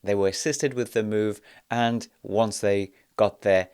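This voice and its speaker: A man in his thirties from South Africa and Poland, hosting a podcast and telling his life story in a measured, reflective way, pausing often on "uh". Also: fairly low pitch, fairly even intonation, a little mellow, smooth. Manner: measured, reflective, with frequent "uh" pauses. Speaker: podcast host. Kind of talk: personal storytelling monologue.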